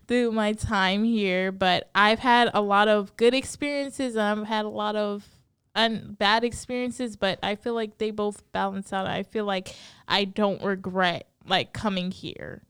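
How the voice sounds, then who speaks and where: Chatty young woman with a crisp voice. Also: mid-range voice, a little slow, fairly bright tone, no echo, studio quality. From Bahamas. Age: young adult. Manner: chatty, many likes and ums. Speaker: interview guest. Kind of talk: casual interview answers.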